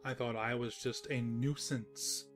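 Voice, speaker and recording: A man's voice: medium pitch, steady tone, a little slow, faint music behind, close to an everyday voice. Casting: man playing male storybook narrator who talks back, teasing, courtly, heartfelt. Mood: sulky. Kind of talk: storytelling narration with in-character dialogue.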